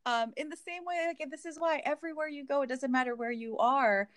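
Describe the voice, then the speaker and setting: A woman's voice: sits high, a little fast, a bit bright, no room sound, clean studio sound. A woman from Hawaii in a reflective, casual conversation, talking with many fillers and restarts.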